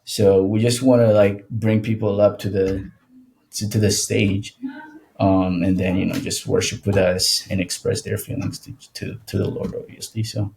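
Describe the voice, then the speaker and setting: A young man from Ethiopia speaks as a podcast guest. His voice sits a bit low with a gravelly fry. He talks in a relaxed, rambling way, often restarting his sentences. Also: moderate pace, a little hiss or hum, poor audio. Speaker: podcast guest, worship singer. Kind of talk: casual conversational interview.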